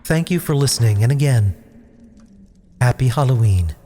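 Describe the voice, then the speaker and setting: A man's voice: medium pitch, lively intonation, normal speed, dark tone. A man hosting a podcast, giving a spooky scripted sign-off drenched in long reverb. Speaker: podcast host. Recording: long cavernous reverb, faint noise.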